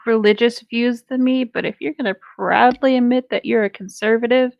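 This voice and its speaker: Girl chatting w friends, candid, lightish higher voice, no echo at all. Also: fairly even intonation, a little fast, gravelly, lo-fi sound. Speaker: co-host reading horror stories in a group. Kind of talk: casual chat with friends.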